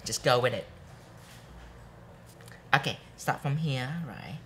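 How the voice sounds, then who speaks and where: A young man teaching a live nail art workshop, chatty and repeating steps softly as he demonstrates. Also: mid-range voice, animated, slow pace, slight background noise. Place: bright studio classroom with students.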